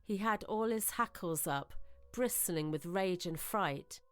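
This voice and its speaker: A woman reading scripted ghost-story narration in a measured, literary, suspenseful manner, as in a radio drama. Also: lowish voice, animated, a bit bright, clear, resonant tone, faint music behind.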